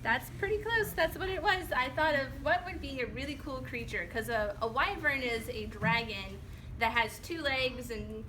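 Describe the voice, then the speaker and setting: A woman's voice: sits high, moderate pace, bright, forceful tone. A woman, a children's author, talking informally and encouragingly to a group of young children, in a conversational way. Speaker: children's author giving a reading. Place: public library, indoors with a group of children. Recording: noisy room, far from the mic. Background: clear room noise and children.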